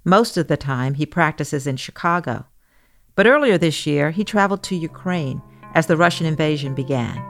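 A woman hosting a news podcast, narrating in a measured, explanatory way. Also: low-pitched, animated, fairly bright tone, soft background music. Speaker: guest podcast host.

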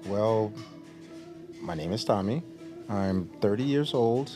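A man's voice: fairly low pitch, slow pace, music in the background. An actor playing an awkward thirty-year-old man on a first date, hesitant and stammering. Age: 30s. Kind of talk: audio drama acting.

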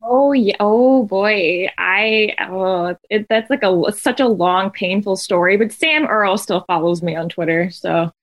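A woman with a strong New Jersey accent, a podcast guest telling stories in halting, filler-heavy casual chat. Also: mid-range voice.

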